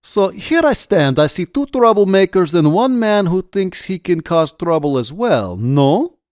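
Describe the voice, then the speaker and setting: A stern Russian party officer played by a man reading a story, with a heavy accent and a mockingly formal, domineering manner. His pitch rides high and swings widely, recorded close and dry. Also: very animated, unhurried, a little mellow, no echo. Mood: menacing, mocking.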